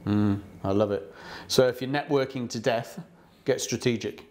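A man's voice: mid-range voice, moderate pace, darkish tone. Upbeat middle-aged male show host. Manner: upbeat, friendly presenter. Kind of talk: hosting a business interview. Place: studio set with orange backdrop.

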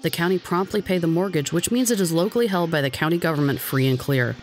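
A man with an American accent reading scripted documentary narration in a measured, informative way. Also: on the high side. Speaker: video narrator. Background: background music.

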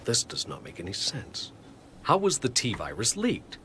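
An uneasy, incredulous male scientist in a horror game. His medium-pitched voice is extremely animated, with faint noise behind it. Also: a little slow, full character voice. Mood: perturbed. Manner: incredulous, questioning. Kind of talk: video game cutscene acting.

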